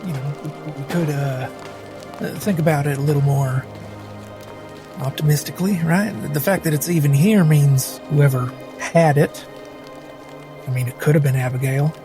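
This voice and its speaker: A man roleplaying casually in a tabletop game, chatty, halting and tentative in how he talks. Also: a little high. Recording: music behind the voice.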